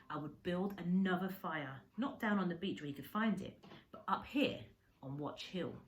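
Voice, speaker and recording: Lady teacher reading a kids book out loud, deep-ish low voice, dramatic emphasis, bit of a noisy recording. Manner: dramatic emphasis on descriptive words. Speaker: primary school teacher reading aloud. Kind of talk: expressive children's storybook reading.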